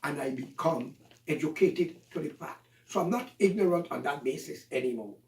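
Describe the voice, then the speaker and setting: A man holds forth in a heated, accusatory monologue, lecturing his listeners like a preacher. His voice sits high and leaps all over the place. He sounds a little distant from the mic, with a roomy echo around him. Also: lively intonation, moderate pace, darkish tone. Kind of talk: impassioned monologue.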